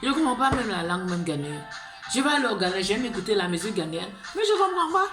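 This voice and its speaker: A woman's voice: medium pitch, very animated, quick pace. A woman from Côte d'Ivoire with an Ivorian accent, speaking in an impassioned, pleading monologue to camera, rambling and tagging phrases with "là". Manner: fervent and pleading, rambling with repeated "là" tags. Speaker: vlogger. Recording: roomy echo with music behind.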